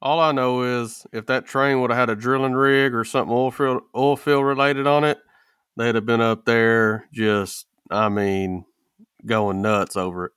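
A man's voice: mid-range voice, fairly even intonation, normal speed, fairly bright tone, clean tone, dry recording, clean studio sound. A man co-hosting a casual chat in colloquial, folksy language. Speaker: podcast co-host.

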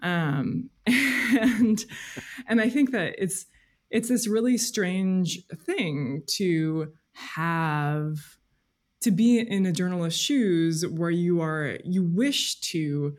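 A woman speaking as an interview guest, explaining things conversationally in a halting, careful way. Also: lowish voice, animated. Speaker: guest, freelance journalist. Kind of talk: thoughtful conversational interview answers.

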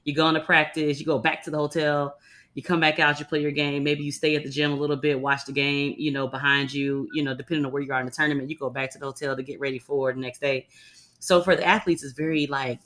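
A young woman hosting a casual medical podcast, chatting in a relaxed way with plenty of slang and fillers, her voice a little raspy from the early morning. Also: low-pitched, fast talker. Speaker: podcast host. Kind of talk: casual chat.

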